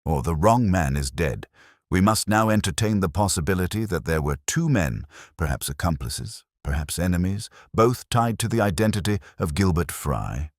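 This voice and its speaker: A man voicing a brilliant, composed detective in an audiobook story, speaking in a measured, cryptic and deliberate way. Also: deep voice, animated, dry recording, studio quality, voice pushed up. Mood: measured, certain.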